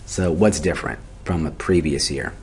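A man with a very low voice delivers an instructional lecture. He works methodically through each step, asking questions and answering them himself. There is faint noise in the recording. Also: moderate pace. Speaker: accounting instructor. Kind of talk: explanatory lecture for a video tutorial.

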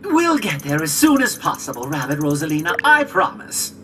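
A woman voicing a small floating robot guide in a video game, excitable, earnest and theatrical. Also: deep voice, normal speed, background noise. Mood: earnest, rallying. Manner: theatrical, exclamatory. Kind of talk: video game cutscene acting. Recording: background game music.

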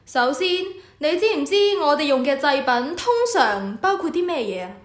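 High-voiced, authoritative temple official played by a woman. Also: very animated, normal speed, roomy sound. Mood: self-assured. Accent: Hong Kong Cantonese. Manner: authoritative, instructive. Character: official in charge of the Temple of Heaven, instructive superior. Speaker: voice actor. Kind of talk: scripted educational radio drama.

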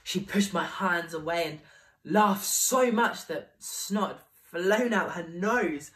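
A young man playing an excitable young guy rambling through a party story, peppering it with "like" and tumbling from one thought to the next. The mic sits some way off, so the voice sounds a little distant. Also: high-pitched, takes it slowly, voice pushed down. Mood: wistful. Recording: voice picked up from a distance.

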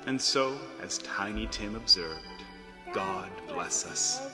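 Low-voiced male storyteller in reverberant hall. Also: lively intonation, takes it slowly. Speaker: narrator. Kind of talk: scripted dramatic storybook narration. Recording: long hall reverb, music behind.

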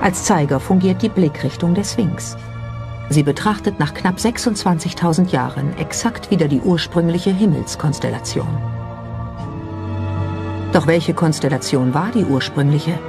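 Documentary narrator, medium pitch, scripted read with music clearly behind. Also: normal speed.

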